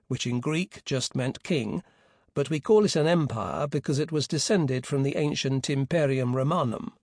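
A man giving a measured, precise scripted narration of a history book as an audiobook narrator, pronouncing foreign names with care. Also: a little high, a little mellow, no room sound, studio quality.

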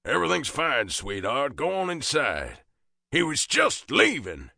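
A man's voice: medium pitch, huge pitch swings, a little slow, vocal fry, bright, forceful tone, no echo, studio quality, pitched above the performer's own voice, full character voice. A man voicing a stern, contemptuous older father with a Southern US accent and a biting, commanding delivery, in an audiobook drama. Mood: placating.

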